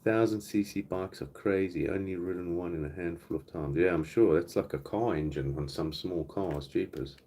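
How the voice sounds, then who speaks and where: A middle-aged man with a South African accent, hosting a casual live Q&A stream from home. He chats in a relaxed, rambling way and reads out viewers' comments as he goes. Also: low-pitched, normal speed, few pauses. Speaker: livestream host. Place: home, indoors.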